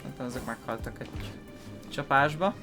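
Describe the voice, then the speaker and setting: A man's voice: mid-range voice, fast. A man giving informal, slangy let's-play commentary as host of a gaming video. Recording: game music behind commentary. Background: video game music.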